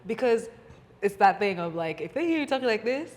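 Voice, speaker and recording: A woman's voice: medium pitch, very animated, fairly quick, big-room sound. A young woman from Kenya, speaking with an American accent as a podcast guest, chatting in an easygoing, thoughtful way. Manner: easygoing, thoughtful, agreeable, frequent "right" and "yeah". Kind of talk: casual conversation.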